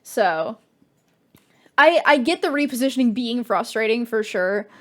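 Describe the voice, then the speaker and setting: A woman streamer talks casually over her gameplay in a slightly high voice. She rambles and restarts her sentences as she explains her play. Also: expressive, unhurried, a bit bright. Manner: chatty, rambling, with fillers and restarts.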